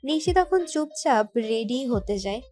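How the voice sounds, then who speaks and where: A woman's voice: a little high, animated, measured pace, dark tone. A woman reading out scripted story narration as the narrator of an audio tale.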